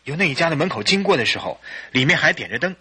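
An actor playing a tense, impatient, hot-tempered husband in a radio drama, urgent in manner and very raspy. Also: male voice, a little high, very animated, fast talker, bright, forceful tone, full character voice. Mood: anxious, impatient.

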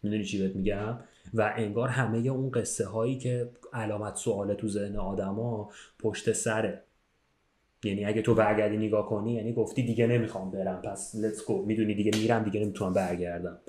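A man's voice: on the low side, steady tone, a little mellow. A man telling a story casually in conversation, checking in with the listener as he goes. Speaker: podcast speaker telling a story. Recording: roomy, echoing sound.

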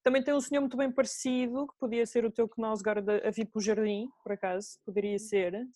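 A woman's voice: fairly high pitch, steady tone, fairly quick, mellow, no room sound. A woman with a European Portuguese accent, co-hosting a casual chat about books. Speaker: book podcast co-host.

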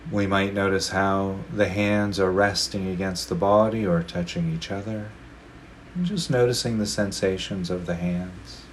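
A man with a very low voice leads a guided meditation. He talks slowly and gently and leaves long pauses between phrases. A faint background noise sits under his voice. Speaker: meditation teacher.

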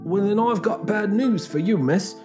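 A man's voice: sits high, moderate pace, music in the background, natural voice. A man playing a polite, formal police officer with an apologetic manner in a fiction podcast. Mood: apologetic, matter-of-fact. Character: polite male police officer reporting a theft.

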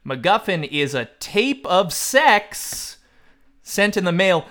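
Higher-pitched man hosting a comic film quiz. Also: big pitch swings, slow, fairly bright tone. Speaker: podcast quiz host. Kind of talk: comic film trivia banter.

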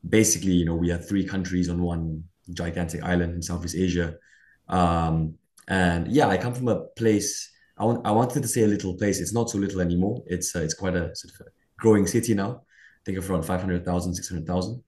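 A man's voice: deep voice, fairly even intonation, brisk, a little mellow. A man from Malaysian Borneo giving conversational interview answers, rambling and leaning on "you know" and "sort of". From Sarawak, Malaysian Borneo. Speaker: guest. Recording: roomy echo.

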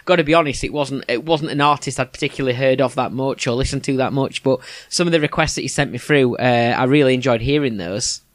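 A man's voice: mid-range voice, quick pace, few pauses, no echo, clean studio sound. A man with a Yorkshire accent hosting a casual podcast chat, chuckling often and restarting his sentences. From England. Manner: chatty, chuckling, with many restarts. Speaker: podcast host.

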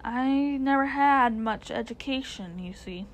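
A woman voicing a humble, honest working-class male cab driver with a Cockney accent, halting, deferential and plain-spoken, in a storybook reading. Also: high voice, slight background noise, rough recording. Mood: humble, earnest.

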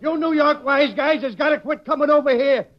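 An actor playing a pompous, bullying Brooklyn judge with mangled grammar and a Brooklyn accent in 1940s radio comedy. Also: male voice, very high voice, fairly even intonation, a little fast. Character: blustering, bullying, uneducated Brooklyn judge, comic and biased. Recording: muffled, very noisy old radio recording.

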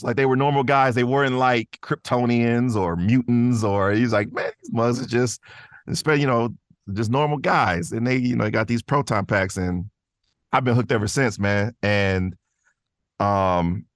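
A man's voice: medium pitch, normal speed, dry recording, clean studio sound. A man chatting casually as an interview guest, rambling and enthusiastic, often saying "man" and "you know". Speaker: podcast guest, voice actor.

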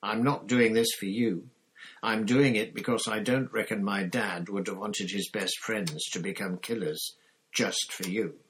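A man's voice: lowish voice, measured pace, a bit bright, slight background noise, voice pushed up. A man voicing a resolute teenage boy in a storybook, blunt, indignant and insistent. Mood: disgusted resolve. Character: resolute, indignant teenage boy sparing his father's betrayer.

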